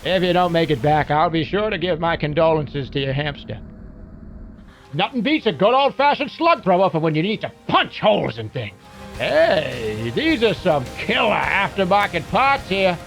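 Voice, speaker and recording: Excitable high-pitched wisecracking mech mechanic. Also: male voice, moderate pace, soft background music, full character voice. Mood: wry. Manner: folksy, slangy, wisecracking. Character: gruff-talking, wisecracking mech mechanic, gun-loving and excitable. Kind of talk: video game character voice lines.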